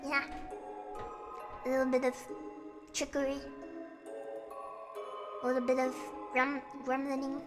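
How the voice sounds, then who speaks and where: A woman livestreamer speaks in a very high, childlike register with a slight croak. Her pace is unhurried, and her casual chat is playful and self-deprecating. Music plays clearly behind her. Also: monotone. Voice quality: high, girlish voice. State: slightly croaky and sniffly from singing. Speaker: livestreamer hosting a chat and karaoke stream.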